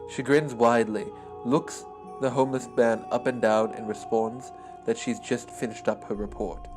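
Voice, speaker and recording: A man's voice: mid-range voice, steady tone, normal speed. A man storyteller narrating a story as if describing scenes from a film. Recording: clear music behind the voice. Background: music.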